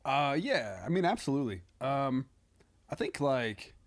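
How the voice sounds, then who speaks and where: A man talking casually as a comedian guest on a podcast, halting and self-deprecating. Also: medium pitch, sing-song.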